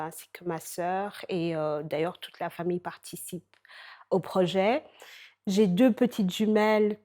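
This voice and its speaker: A woman from Belgium introducing herself and her family, gently and with some hesitation. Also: fairly low pitch. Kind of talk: spoken self-introduction.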